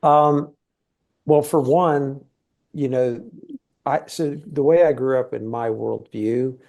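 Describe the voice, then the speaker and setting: Middle-aged guy from thailand, mellow gravelly voice, rambly easygoing interview chat. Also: mid-range voice, fairly even intonation, takes it slowly. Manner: easygoing, rambling with many fillers. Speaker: guest, TV series producer. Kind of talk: casual interview conversation.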